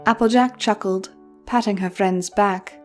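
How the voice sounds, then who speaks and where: A woman's voice: medium pitch, fairly even intonation, a little slow. A woman reading scripted storybook narration as the storyteller, with music playing behind her. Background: background music.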